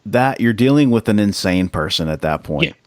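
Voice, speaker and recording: A man with a fairly low voice talks as a podcast co-host. His delivery is casual and slangy, leaning hard on key words. The sound is dry and close, free of room echo. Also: animated, normal speed. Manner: emphatic, slangy, informal.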